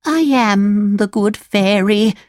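A woman voicing a kindly, solemn old fairy who gently admonishes in a children's storybook. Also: medium pitch, clear, resonant tone, no echo, clean studio sound, voice pushed up, lightly acted. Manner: slow, drawn-out, gently admonishing.

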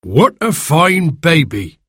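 Man voicing a sly, plotting storybook coachman, husky tone. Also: a little high, big pitch swings, slow pace, bright, forceful tone, no echo, clean studio sound, voice pushed up, heavily acted. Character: scheming coachman, greedy and sly.

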